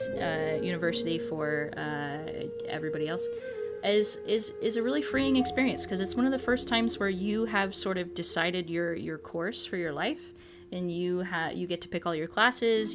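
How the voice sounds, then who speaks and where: A young adult woman, chatting casually and musingly as she hosts a livestream and answers viewers. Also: on the low side. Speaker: livestream host. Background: background music.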